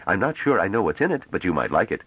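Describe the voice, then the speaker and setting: A man reading a novel aloud, voicing a gentle, courteous older male scholar. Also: sits low, very animated, quick pace, slightly rough, dry recording, pitched above the performer's own voice, heavily acted. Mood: mild. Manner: mild, courteous. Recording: dull lo-fi dry audio.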